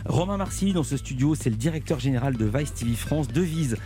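A man hosting a live radio interview from a studio, putting brisk, pressing questions to guests. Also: medium pitch, lively intonation, warm tone, faint music behind.

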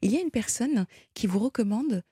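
Gentle woman hosting a radio call-in. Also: on the high side, lively intonation, a little fast, slightly rough, no echo, studio quality. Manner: gentle, teasing, rephrases the caller's words. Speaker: radio host. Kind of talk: late-night call-in radio show.

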